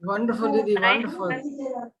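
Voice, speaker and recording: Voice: mid-range voice, moderate pace, crowd noise. An effusive host, introducing performers at a live poetry event and repeating names and praise.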